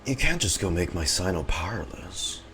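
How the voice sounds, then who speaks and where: Deep-voiced man reacting casually. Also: animated, moderate pace, noisy background. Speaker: streamer reacting to a game. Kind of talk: casual live commentary. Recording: live stream over game audio.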